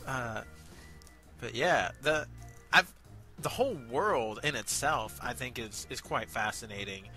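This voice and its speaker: A man co-hosting a review show in casual chat, speaking hesitantly with many pauses. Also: mid-range voice, expressive, bright, forceful tone. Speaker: co-host of an amateur voice acting review show. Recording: background music under voice.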